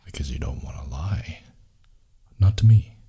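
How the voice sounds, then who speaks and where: A man playing a grumpy, possessive, wounded boyfriend in an intimate ASMR roleplay, breathy and intense, often dropping into a whisper. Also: a bass voice, expressive, dark tone, exaggerated voice. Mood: pleading, challenging. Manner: slow, intense, teasing murmur with dramatic pauses. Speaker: audio roleplay voice actor.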